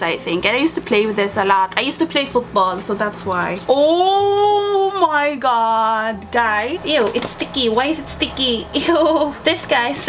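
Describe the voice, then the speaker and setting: High-voiced young woman, playful vlogger. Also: fairly bright tone, a little hiss or hum. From Sweden. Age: young adult. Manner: playful, exclamatory, slangy. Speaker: vlogger showing childhood belongings. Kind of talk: casual chat to camera.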